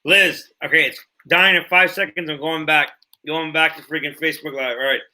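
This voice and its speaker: Host, late 30s, male, bright voice, slightly high, loose rambling delivery with casual swearing. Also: steady tone, normal speed. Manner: rambling, swears freely, repeats himself. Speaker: podcast host. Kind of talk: casual livestream podcast chat.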